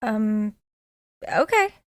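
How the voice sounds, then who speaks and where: An actress playing a naive, puzzled young maid, eager to help, in an audio comedy drama. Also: female voice, mid-range voice, big pitch swings, drawn-out words, dry recording, clean studio sound.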